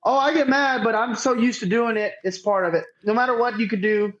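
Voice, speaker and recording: A man's voice: extremely high pitch, fairly even intonation, brisk, a bit bright. A man from chats casually as an interview guest, telling anecdotes in an informal, self-deprecating way. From Northeast Texas, United States. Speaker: podcast guest, tournament director. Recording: dull, lo-fi remote audio.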